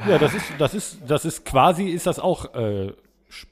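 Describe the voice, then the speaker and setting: A man's voice: fairly high pitch, sing-song, normal speed, husky. A man co-hosting a podcast, casually moderating and bantering with the others. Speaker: podcast co-host.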